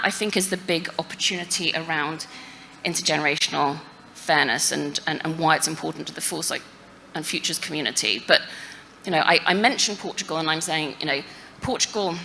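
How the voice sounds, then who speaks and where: Woman talking on a conference panel, chatty with lots of "you know" and "yeah?", fairly low pitch, bright tone, faint hiss behind. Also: poor audio. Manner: conversational, frequent tag questions and "you know" fillers. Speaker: panel speaker at a futures forum. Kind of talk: conference panel talk addressing an audience. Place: conference forum before a live audience.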